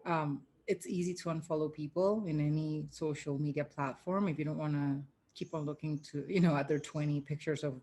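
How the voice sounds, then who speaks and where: A woman's voice: sits low, fairly even intonation, brisk, few pauses, dark tone. A woman co-hosting a podcast, chatting casually, with a little noise in the recording. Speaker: podcast co-host. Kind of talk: casual conversational panel chat.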